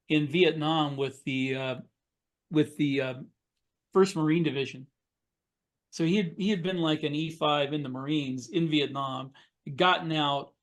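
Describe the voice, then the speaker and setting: A middle-aged or older man with an American accent speaks in a somewhat high-pitched voice. He recounts memories casually as a podcast co-host, with a dry, joking streak. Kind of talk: casual chat, recollections.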